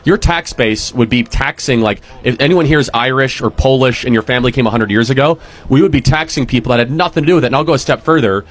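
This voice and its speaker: A man's voice: medium pitch, fast talker. A man in a live debate, pressing his opponent with argumentative questions. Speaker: debater at a campus table.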